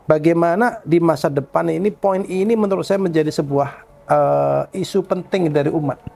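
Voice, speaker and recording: A male podcast host speaks in a fairly high voice with a lot of fry. He leads a casual religious discussion, putting questions informally and looking for agreement as he goes. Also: moderate pace, dark tone.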